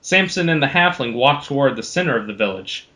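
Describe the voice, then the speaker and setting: A man's voice: mid-range voice, animated, a little fast, bright, forceful tone. A man hosting a podcast, narrating a homemade adventure story in an informal style. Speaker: podcast host. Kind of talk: animated amateur story narration.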